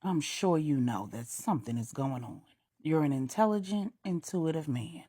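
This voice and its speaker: A middle-aged woman storyteller reading a grown-up bedtime story aloud, voicing a devoted grieving mother with a heartfelt, measured delivery. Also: sits low, expressive, dark tone, no echo, clean studio sound.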